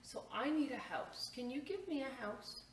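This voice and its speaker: A woman's voice: sits high, lively intonation, moderate pace, voice pushed up. A woman voicing a needy, self-absorbed boy who grows into a weary man, plaintive, in a children's storybook. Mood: wanting, insistent. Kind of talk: children's storybook read-aloud. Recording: echoey room, distant mic, faint noise.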